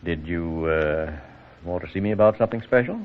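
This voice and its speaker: Guy doing courteous older reverend, real deep voice, hesitant, tinny old radio drama. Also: a little fast, slight background noise, close to an everyday voice. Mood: thoughtful. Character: kindly, thoughtful village reverend, older man. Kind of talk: 1940s radio drama acting. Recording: tinny, narrow vintage radio recording.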